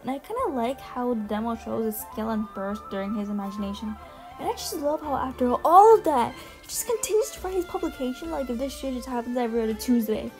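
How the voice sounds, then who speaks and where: A young woman giving casual, gushing ranking commentary in a voice-over, slangy and quick to swear. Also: fairly high pitch, lively intonation, low-quality recording. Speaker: video essay host. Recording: music behind the voice. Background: music.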